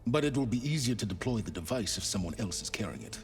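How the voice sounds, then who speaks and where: Guy playing an aloof older brainy man in a game, deep voice, deliberate, music under it. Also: expressive, lightly acted. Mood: calculating, skeptical. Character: aloof, calculating older male scholar. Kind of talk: video game cutscene acting.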